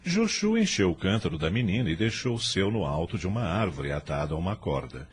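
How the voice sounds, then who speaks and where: A man's voice: low-pitched, sing-song, a little fast, soft background music. A man with a Brazilian accent narrating a radio drama from a script in a solemn storytelling delivery.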